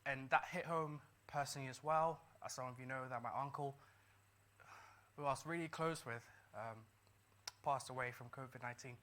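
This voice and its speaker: A man's voice: mid-range voice, steady tone, moderate pace, fairly bright tone. A middle-aged man from Ghana, a doctor, telling his success story to a live audience in an earnest way, repeating key lines for emphasis. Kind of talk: motivational talk to a live audience.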